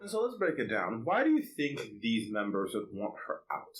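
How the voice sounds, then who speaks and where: A man's voice: mid-range voice, wildly swooping pitch, moderate pace, faint music behind. A man co-hosting a podcast, chatting casually and giving opinions with lots of "like" filler. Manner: conversational, opinionated, peppers speech with "like". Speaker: podcast co-host. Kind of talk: casual K-pop podcast chat.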